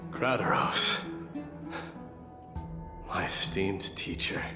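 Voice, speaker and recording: Slow-spoken, stern warrior prince. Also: male voice, low voice, lively intonation, echoey room, long reverb tail, over music, full character voice. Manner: curt, imperious. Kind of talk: video game voice acting.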